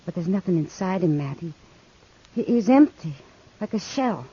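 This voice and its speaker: A woman's voice: lowish voice, animated, moderate pace, dark tone, slightly rough. An American woman playing a worldly, caring saloon woman, earnest and confiding, in 1950s radio western style. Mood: troubled. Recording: dull, muffled 1950s radio recording.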